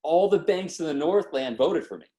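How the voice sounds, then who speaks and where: A man's voice: on the high side, moderate pace, muffled recording. A man in his twenties, a podcast guest, telling his story in a casual, slangy way and acting out what people said. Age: 20s. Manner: lively, slangy, acts out quotes.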